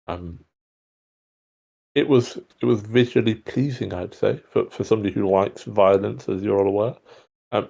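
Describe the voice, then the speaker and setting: A man's voice: on the low side, vocal fry, dark tone. A man giving casual, somewhat rambling and hesitant feedback on a script in an online meeting. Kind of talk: casual spoken critique. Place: indoors on an online meeting call.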